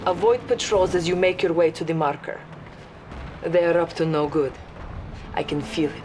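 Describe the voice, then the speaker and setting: A woman's voice: lowish voice, fairly even intonation, moderate pace, a little mellow, a little hiss or hum, close to an everyday voice. A woman playing a fierce, proud Soviet officer in a game cutscene, giving stern orders. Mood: urgent. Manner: stern, commanding, patriotic. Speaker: Soviet commander.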